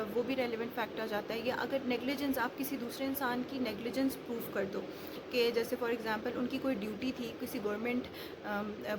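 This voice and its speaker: Lady teaching law, slightly high voice, chatty lots of ums, noisy background. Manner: conversational, explains with examples, many fillers. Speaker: law lecturer. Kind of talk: explanatory law lesson. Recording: noticeable background noise.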